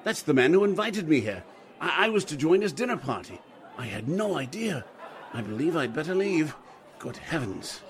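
An actor playing a nervous, flustered, respectable gentleman who stammers, in 1940s radio drama style. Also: a little high, very animated, moderate pace. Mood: flustered, alarmed.

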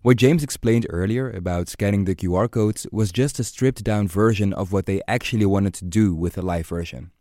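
A male podcast host delivers scripted narration in a low, very mellow voice. The sound is close and dry, with hardly any room on it. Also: moderate pace, few pauses, dry recording.

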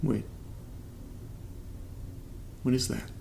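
A man's voice: drawn-out words, a little mellow, slight background noise. A man playing an uneasy young tenant in an audio drama, talking hesitantly and informally as he records himself. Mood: puzzled, nervous. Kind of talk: audio drama, casual found-recording monologue.

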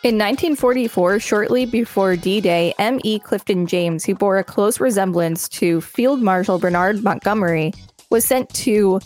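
Female co-host, medium pitch, reads articles aloud and comments casually, light music behind. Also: normal speed, darkish tone. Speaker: podcast co-host.

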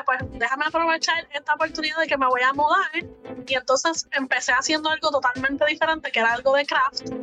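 A young Puerto Rican woman, a former preschool teacher, co-hosting a podcast with enthusiastic, rambling storytelling. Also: high-pitched. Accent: Puerto Rican Spanish. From Puerto Rico. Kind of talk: casual podcast chat.

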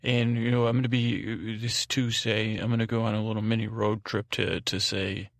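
A man with a creaky voice hosting a sleep podcast in a halting, meandering, informal monologue that often doubles back on itself. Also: on the low side, little pitch movement, no room sound, studio quality. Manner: halting, meandering, self-interrupting. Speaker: podcast host.

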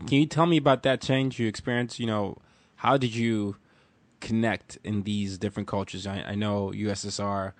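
Nigerian-born male interviewer, fairly low voice, dry close sound. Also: fairly even intonation, normal speed, no room sound. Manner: encouraging, stammers on restarts. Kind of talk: casual conversational interview.

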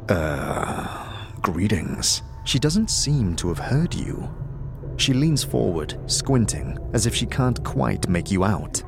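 Lively, low-voiced male narrator. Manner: vivid second-person storytelling. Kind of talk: scripted fantasy narration.

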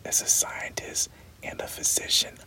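Wry learned stout doctor, whispered by a reader, slow, bright hushed tone. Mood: dry. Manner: whispered throughout. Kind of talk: whispered audiobook reading.

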